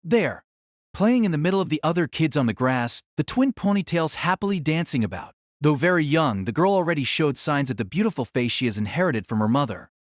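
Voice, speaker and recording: A man narrating a novel in a solemn, theatrical style with deliberate phrasing. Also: fairly high pitch, very animated, no room sound, studio quality. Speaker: audiobook narrator. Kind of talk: dramatic scripted novel narration.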